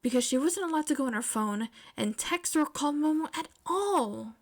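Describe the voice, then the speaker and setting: A woman reading scripted fiction aloud as an expressive podfic narrator. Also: sits high, normal speed.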